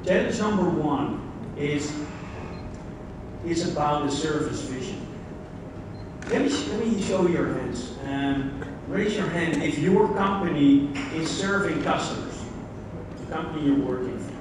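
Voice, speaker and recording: A man's voice: on the high side, animated, a little slow. A man from the Netherlands with a Dutch accent giving a conference talk, speaking conversationally and putting questions to the audience. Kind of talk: conference keynote presentation. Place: large conference hall. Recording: very echoey hall, distant mic, background noise.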